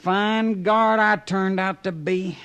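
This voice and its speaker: An actor playing a dazed, apologetic young cowhand who talks haltingly, in a 1950s radio western. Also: male voice, high voice, fairly even intonation, fairly bright tone, clean tone, heavily acted. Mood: dazed, rueful. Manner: halting, groggy.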